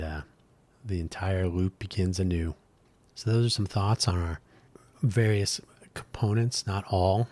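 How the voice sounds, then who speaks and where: A man's voice: low-pitched, a little mellow, breathy edge. A middle-aged man hosting a solo podcast, his throat dry and scratchy, rambling thoughtfully with many fillers and restarts. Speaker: podcast host.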